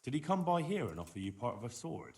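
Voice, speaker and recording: A man's voice: medium pitch, very animated, fast, a little mellow, distant mic. An actor playing a plain-spoken young medieval man in a video game, questioning things in a dry, practical way. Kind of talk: video game dialogue acting.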